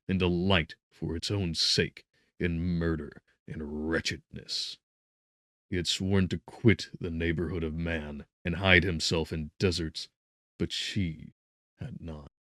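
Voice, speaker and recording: A man narrates Gothic horror in a very low, deep voice. His reading is solemn and theatrical, rising into emphatic swells and falling to hushed phrases. The sound is dry and close, with no room around it. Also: no room sound. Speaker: audiobook narrator. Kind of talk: dramatic scripted narration of Gothic horror fiction.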